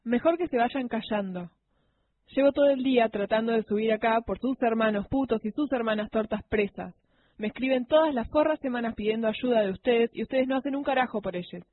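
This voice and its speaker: A woman reading a political speech in a defiant, confrontational, emphatic way. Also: fairly high pitch. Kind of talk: translated political speech read aloud with fierce delivery. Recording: narrow phone-like sound.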